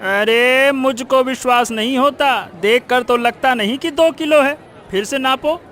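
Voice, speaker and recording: Guy doing sneaky spiteful village bully for a cartoon, really high pitched, argues nonstop. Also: a little slow, a bit bright, some chatter behind. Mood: belligerent. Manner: argumentative, blustering, insistent. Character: scheming, quarrelsome male village cheat, pushy and spiteful. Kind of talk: Hindi cartoon moral story acting.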